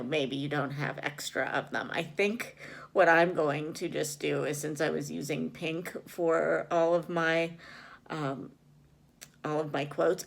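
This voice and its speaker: A woman's voice: sits low, creaky. A woman chatting casually as a book vlogger, rambling and hesitant, often correcting herself as she goes. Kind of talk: casual chat, reading vlog.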